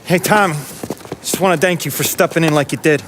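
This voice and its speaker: An American actor playing a chummy, eager hustling mechanic for the mob in a cinematic crime game. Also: male voice, a little high, a little fast, noisy background, natural voice. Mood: grateful, chummy. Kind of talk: naturalistic cinematic game acting.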